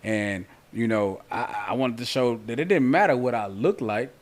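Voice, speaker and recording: A man of about forty speaks as a podcast guest in a medium-pitched voice. His delivery is informal and conversational, full of slangy tags. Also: moderate pace. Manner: informal, conversational, repeats "you know what I'm saying". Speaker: podcast guest, cornhole player. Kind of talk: casual podcast chat.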